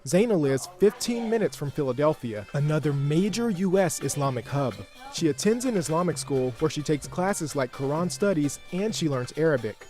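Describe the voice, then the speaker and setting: A man narrates a news feature in a measured, informative voice. His pitch sits a little higher than most men's. Soft music plays underneath him. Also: lively intonation.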